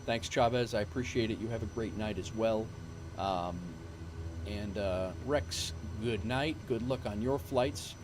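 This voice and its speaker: A man winding down a live stream, talking in a low-pitched voice that rambles through ums and restarts. His voice is wearing thin from a long session. A clear hum of background noise runs under his desk mic. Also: measured pace. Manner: rambling, full of fillers and restarts. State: losing his voice. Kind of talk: casual live-stream sign-off chat.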